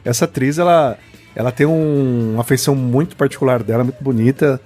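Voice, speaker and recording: A Brazilian man co-hosts a relaxed film chat at an ordinary mid pitch, full of admiring remarks and restarted phrases. Faint music runs underneath. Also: brisk. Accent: Brazilian Portuguese. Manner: admiring, restarts often, says "cara". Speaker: podcast co-host. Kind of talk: casual film podcast chat.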